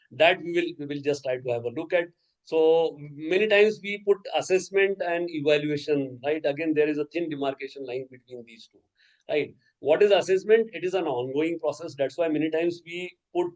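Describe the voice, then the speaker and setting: A man's voice: a little high, darkish tone, rough recording. Man lecturing over a video call. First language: Urdu. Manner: explanatory, frequent "okay" and "right?" check-ins. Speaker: workshop resource person. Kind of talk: online lecture to teachers.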